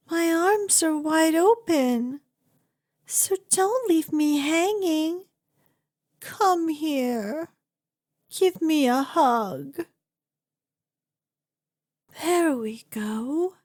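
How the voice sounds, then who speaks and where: A woman's voice: high voice, full character voice. A woman playing an obsessive, doting, clingy kidnapper in an ASMR roleplay, with a gushing, coaxing delivery. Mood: coaxing, affectionate. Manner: gushing, coaxing, dramatic emphasis.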